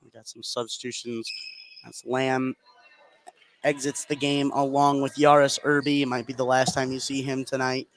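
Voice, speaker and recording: A man doing live play-by-play basketball commentary as a sports announcer. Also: medium pitch. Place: courtside in an indoor high school gym. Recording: noisy live broadcast from a gym. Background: loud gym ambience.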